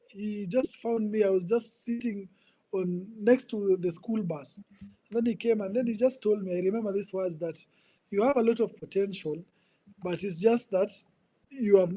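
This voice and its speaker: A man in his twenties with a Kenyan accent, telling his life story as a podcast guest in an earnest, halting way, often repeating words. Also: sits high, dark tone, poor audio. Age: 20s. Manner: earnest, repeats words while searching for them. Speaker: guest, ministry coach. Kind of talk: personal testimony in conversation.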